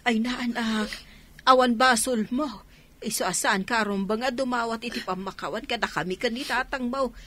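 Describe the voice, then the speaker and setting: A loving elderly mother in a Philippine radio drama. She speaks in a high voice, forgiving and tender with her family. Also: normal speed, bright, forceful tone. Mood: gentle, pleading.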